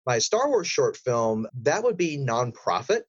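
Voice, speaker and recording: A man's voice: medium pitch, very animated, measured pace, breathy edge, no echo, muffled recording. A man speaking as an interview guest, a voice actor who gives long, rambling explanations in a conversational way. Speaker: voice actor and audio drama creator, interview guest.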